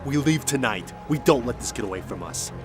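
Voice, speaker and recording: A man's voice: medium pitch, animated, a little fast, close to an everyday voice. An actor playing a brash, impatient male monster hunter in a radio drama, blunt and commanding. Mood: brash, determined. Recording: loud music bed.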